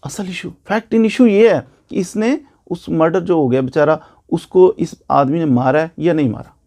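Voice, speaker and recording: A male lecturer with a Pakistani accent explains things through everyday examples. His voice is dark and soft-edged, with a lot of fry in it. He circles back to key points and poses questions that he then answers. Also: a little high. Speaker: law lecturer and advocate.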